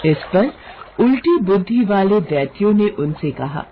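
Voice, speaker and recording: A woman's voice: mid-range voice, animated, measured pace, lo-fi sound. A woman narrating a scripted mythological tale as a storyteller.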